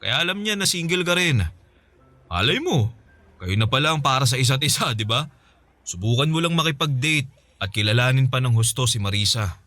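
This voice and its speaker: A man's voice: mid-range voice, lively intonation, a little fast, bright, forceful tone, soft background music. An actor with a Filipino accent playing a teasing, sociable friend, a small business owner and single father, in casual radio drama banter. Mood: persuasive. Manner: chatty, teasing, chuckling buddy talk.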